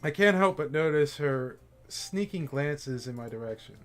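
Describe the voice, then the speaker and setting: A young man streaming himself reading a visual novel aloud and tossing in wry, joking asides. His voice sits slightly high for a man and moves in lively, animated swings. There is a faint music bed under his voice. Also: moderate pace.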